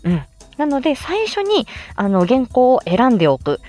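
Female amateur voice actor as livestream host: animated, swooping pitch, friendly tutorial chat, polite and encouraging, music underneath. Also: moderate pace. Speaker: amateur voice actor explaining how to make voice samples. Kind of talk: casual explanatory livestream chat. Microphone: smartphone, close.

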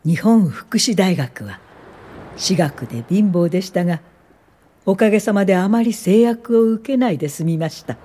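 Gentle, mellow older woman professor, played by an actress. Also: mid-range voice, animated, close to an everyday voice. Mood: nostalgic, content. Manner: soft, polite, unhurried, old-fashioned feminine Japanese. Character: gentle older woman professor of social work, warm and encouraging. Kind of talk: radio drama acting and reminiscing narration.

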